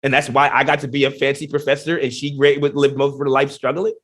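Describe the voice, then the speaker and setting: A man, a genetics professor, speaking in a podcast interview with emphatic, animated explanations full of rhetorical questions. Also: medium pitch, fast talker. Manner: energetic, emphatic, many restarts and rhetorical questions.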